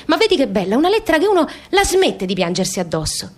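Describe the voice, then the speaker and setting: An actress playing a young working-class daughter with a southern Italian accent, talking candidly and haltingly in a theatrical radio monologue. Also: female voice, high-pitched, big pitch swings. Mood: restless, questioning. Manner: colloquial, halting, repeating phrases.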